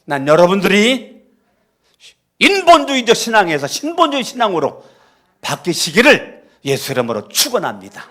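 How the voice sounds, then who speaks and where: A man preaching a sermon as pastor in a church, moving between quiet storytelling and loud, fervent exhortation. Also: high voice, huge pitch swings, moderate pace, long pauses. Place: church sanctuary.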